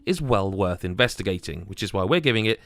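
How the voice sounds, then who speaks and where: A man's voice: sits low, expressive, fast talker, fairly bright tone. A man reading a scripted video game review as the reviewer.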